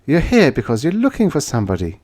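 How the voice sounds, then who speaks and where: Brief: man doing a jittery young woman, voice pushed well up, lots of pitch swing. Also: huge pitch swings, fast, darkish tone. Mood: knowing. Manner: anxious, questioning. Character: frightened young woman in a bar.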